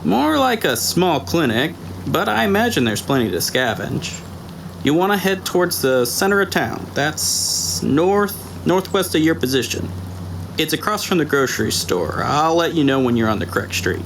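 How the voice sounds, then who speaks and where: A man's voice: a little high, big pitch swings, normal speed, gravelly, slight background noise, pitched above the performer's own voice. A man voicing a wry male hunter keeping lookout with dry humor.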